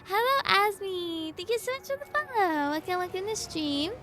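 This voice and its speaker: High-voiced woman streaming over game music. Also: lively intonation, moderate pace. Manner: playful, easily distracted, chatty with viewers. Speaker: livestreamer, VTuber and cosplay content creator. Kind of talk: casual gameplay stream chat. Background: game music and dialogue.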